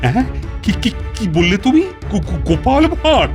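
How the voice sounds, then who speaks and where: A man voicing an excitable, easily flustered Indian king in a cartoon, theatrical and full of exclamations. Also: sits high, moderate pace, music in the background. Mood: alarmed, suspicious. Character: excitable, flustered Indian king fretting over his courtier. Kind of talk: Bengali cartoon dialogue.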